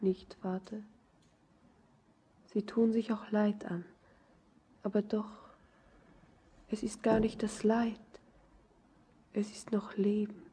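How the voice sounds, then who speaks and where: An actress playing a grief-stricken, numbed young bride in a poetic radio drama, speaking frailly and often dropping into a breathy whisper. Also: female voice, mid-range voice, level tone, takes it slowly, long pauses, warm tone. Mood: broken, hushed.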